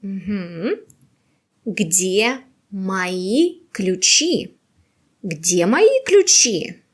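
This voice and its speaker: A woman teaching a beginner language quiz, with patient, slow and carefully exaggerated pronunciation. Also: medium pitch, very animated, husky.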